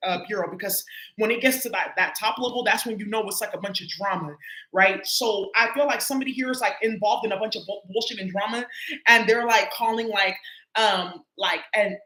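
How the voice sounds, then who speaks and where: A woman's voice: medium pitch, brisk, bright, forceful tone. A woman doing a casual tarot reading for her viewers, talking loosely and profanely with lots of filler words. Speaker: tarot reader. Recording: echoey room.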